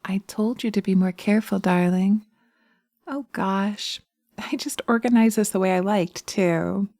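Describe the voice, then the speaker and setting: A woman voicing a poised, nurturing, gently bossy witch in an audio roleplay, with a genteel, coaxing and chiding manner. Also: mid-range voice, measured pace, no echo, lightly acted.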